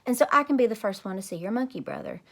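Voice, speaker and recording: A woman's voice: medium pitch, lively intonation, very fast. A woman voicing an eager, pleading little schoolgirl in a children's storybook. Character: eager, pleading little girl classmate bargaining for favour.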